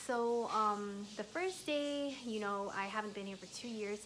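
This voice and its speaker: A young woman in her twenties, raised in the US, rambling casually to her phone camera in a hotel room, filling every pause with "like". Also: medium pitch, slight background noise, poor audio. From Thai, raised in the US. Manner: rambling, heavy use of "like", soft and chatty. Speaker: travel vlogger. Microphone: phone camera at arm's length.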